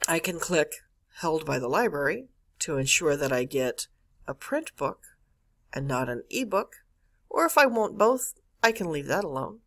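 Woman, lowish voice, animated intonation, tutorial narration, step-by-step, emphatic key words. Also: moderate pace. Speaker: college librarian giving a catalog tutorial. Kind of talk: instructional screencast narration. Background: screen-recorded voice-over.

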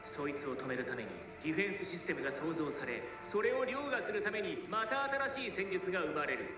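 An actor playing an arrogant, contemptuous soccer coach in an anime, lecturing in a condescending manner. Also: male voice, fairly high pitch, animated, fast talker, close to an everyday voice. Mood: intense lecturing. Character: arrogant, contemptuous male soccer coach running a harsh program. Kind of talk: anime voice acting. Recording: distant, echoey, loud music behind.

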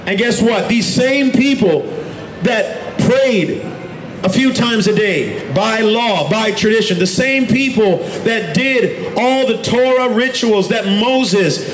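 A man in his thirties preaching in the open air on a city street at night into a handheld microphone, often raising his voice to a shout. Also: high voice, expressive, slow, roomy sound, slight background noise, rough recording. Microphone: handheld microphone through a street speaker.

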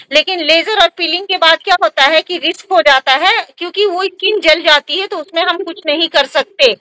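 Bright, high-pitched woman, emphatic. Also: no room sound, rough recording. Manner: emphatic, repetitive, with frequent tag questions. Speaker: vlogger and skin treatment provider. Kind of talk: casual advice talk to viewers.

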